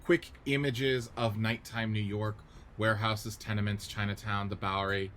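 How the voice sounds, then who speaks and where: A man's voice: on the low side, little pitch movement, moderate pace, fairly bright tone, a little hiss or hum. A man narrating stage directions in a scripted radio play reading.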